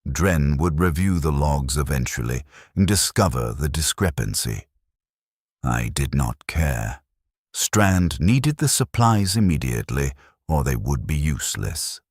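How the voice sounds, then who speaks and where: Very deep-voiced man narrating somberly. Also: big pitch swings, unhurried, breathy edge, no room sound, clean studio sound. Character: weary, stoic military supply clerk recounting wartime losses. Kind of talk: scripted first-person story narration.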